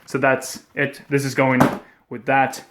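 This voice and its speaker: A man's voice: medium pitch, unhurried, background noise. Young swedish guy unboxing pc parts, hyped, swears a ton, home webcam. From Sweden. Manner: excitable, swears a lot, jokey. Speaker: gaming vlogger. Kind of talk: casual unboxing vlog. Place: at home indoors.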